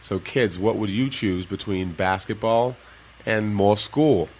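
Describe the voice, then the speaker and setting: A man with a fairly low voice tells stories in a relaxed, easy way to young listeners. He laughs now and then as he goes. Faint noise sits behind him. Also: unhurried. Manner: relaxed storytelling, laughs often. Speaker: guest, former pro basketball player. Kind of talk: casual interview talk to kids.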